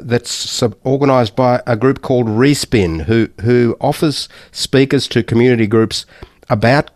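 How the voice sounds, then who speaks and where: Community radio host in a dry studio. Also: male voice, mid-range voice, a little mellow, dry recording. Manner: hesitant, stumbling questions. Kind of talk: radio interview.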